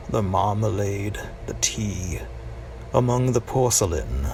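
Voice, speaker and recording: A man reciting poetry dramatically, with deliberate phrasing, long pauses and hushed drops. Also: fairly low pitch, vocal fry. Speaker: amateur poetry reader. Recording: distant mic with faint noise.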